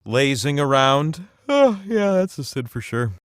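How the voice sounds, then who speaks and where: A man's voice: medium pitch, very animated, unhurried, clear, resonant tone, dry recording, studio quality. A man voicing a cocky, playful game-show wheel that hosts a comic trivia game with theatrical, wry, mock-pompous asides. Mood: amused, emphatic. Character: talking game-show wheel, cocky, playful know-it-all male host. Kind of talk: comic quiz-game hosting.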